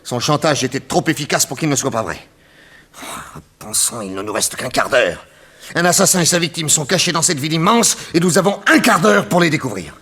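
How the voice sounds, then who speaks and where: A resolute detective hero of vintage French radio drama. The voice is sharp and forceful with a rough husk, widely inflected, terse and commanding. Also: male voice, a little high, lively intonation, a little fast. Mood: anxious reasoning. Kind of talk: 1960s French radio serial acting.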